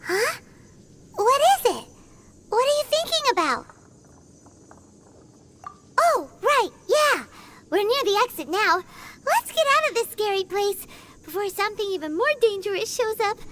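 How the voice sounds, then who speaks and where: A woman voicing a tiny, excitable, childlike fairy companion in a video game, chatty, expressive and inquisitive. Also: extremely high pitch, a little slow, pauses often, noisy background, exaggerated voice. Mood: puzzled, then alarmed. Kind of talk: video game character acting.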